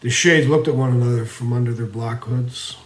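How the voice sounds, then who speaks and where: Man, fantasy story narration, theatrical delivery, emphatic to hushed, medium pitch, echoey room. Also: brisk. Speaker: storyteller reading own fantasy series. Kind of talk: dramatic fantasy audiobook narration. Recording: roomy, echoing voice recording.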